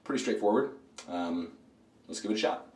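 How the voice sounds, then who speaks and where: A man with a hoarse voice from a throat cold, talking casually and rambling with lots of fillers while reviewing a shave product as host of his shaving videos. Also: lowish voice, normal speed, reverberant, distant mic. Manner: relaxed, rambling, many fillers.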